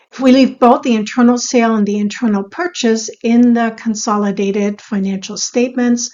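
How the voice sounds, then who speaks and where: A woman giving a scripted explainer as an instructor, in a measured, step-by-step teaching manner. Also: a little high, a little mellow, dull audio. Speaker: accounting instructor.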